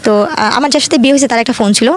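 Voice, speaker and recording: Fast-talking, higher-voiced Bangladeshi woman. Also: slight background noise. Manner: detailed retelling with frequent quoted dialogue. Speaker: guest telling own life story. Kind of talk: conversational personal storytelling.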